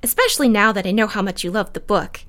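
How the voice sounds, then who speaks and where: A woman's voice: mid-range voice, lively intonation, fast talker, a bit bright, close to an everyday voice. An actress playing a gracious, bookish mid-century book club lady in an audio drama, polite, refined and encouraging. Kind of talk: period audio drama dialogue.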